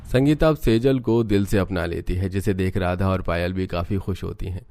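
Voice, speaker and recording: A man's voice: low voice, moderate pace, mellow, no echo, clean studio sound. A man reading scripted narration for a family drama cartoon. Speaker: story narrator.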